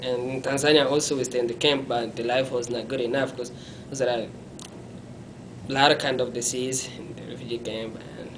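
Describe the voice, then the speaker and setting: A young man, telling his life story in an interview in a small room, halting and often correcting himself. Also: medium pitch, level tone, noisy background. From Congo. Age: young adult. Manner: halting, self-correcting, non-native phrasing; gestures with his hands while talking.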